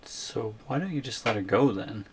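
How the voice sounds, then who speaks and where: A man's voice: mid-range voice, animated, normal speed, a little hiss or hum, lightly acted. A wary young captive boyfriend , acted by a man, in an ASMR roleplay. His mid-range voice is understated and hesitant. He questions carefully and tries to placate his captor. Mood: placating.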